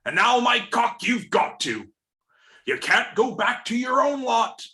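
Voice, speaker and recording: An actor playing a sly, falsely fatherly old pirate in a radio play, halting and taunting. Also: medium pitch, big pitch swings, sharp tone. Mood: sly, mock-friendly.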